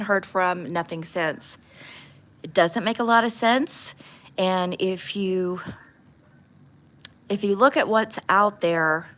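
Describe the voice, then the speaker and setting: A woman's voice: fairly low pitch, fairly bright tone. A woman hosting a casual livestream, talking informally and bluntly as she gives her opinions. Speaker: livestream host doing nail art. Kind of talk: casual livestream chat with true crime commentary.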